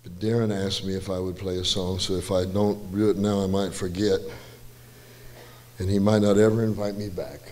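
An older man, a guest musician, telling a relaxed, rambling story with self-deprecating asides at a church, his voice slightly hoarse, on a handheld mic. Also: low voice, moderate pace. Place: church stage, large indoor room. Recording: reverberant church hall with faint music.

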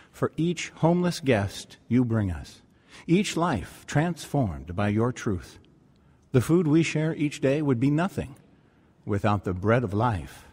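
Husky, animated male radio announcer. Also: medium pitch. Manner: earnest, prayerful. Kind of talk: scripted devotional appeal. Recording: long reverberant hall sound.

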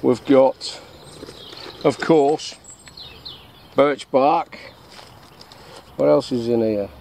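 A man's voice: mid-range voice, extremely slow, vocal fry, darkish tone. Chatty English man in the woods. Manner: jokey, chatty, asks himself questions and answers. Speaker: bushcraft vlogger. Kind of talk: casual outdoor demonstration. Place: woodland camp outdoors. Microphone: action camera on chest or tripod. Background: birdsong and faint outdoor noise.

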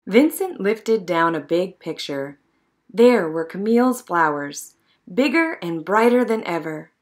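A woman narrating a children's picture book in an expressive, measured storytelling manner. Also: on the low side. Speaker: storybook reader.

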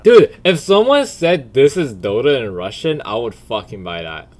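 A man's voice: mid-range voice, very animated, normal speed, darkish tone. A man giving casual, slangy reaction commentary as a streamer, blunt and full of swearing. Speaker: streamer and video creator.

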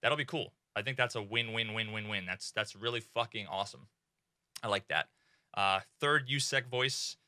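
A young man with a bright, sharp, rather low voice chats about game gear from a small home streaming room. He talks excitably, drops swear words and fills pauses with "uh". The sound is close and dry at a desk mic. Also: no room sound. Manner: excitable, swears freely, many fillers. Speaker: gaming streamer. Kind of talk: casual gaming commentary.

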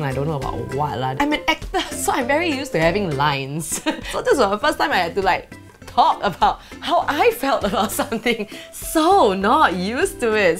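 A young woman from Singapore with a Singaporean English accent, chatting in a bubbly, giggly way as the host of a beauty show, filmed in a small apartment set. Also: mid-range voice. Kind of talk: casual vlog chat. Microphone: off-camera mic.

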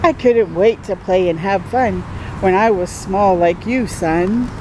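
A woman voicing a patient, playful daddy monster, gently explaining things to his young son in storybook rhyme. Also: medium pitch, unhurried, background noise, pitched below the performer's own voice, lightly acted. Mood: reassuring.

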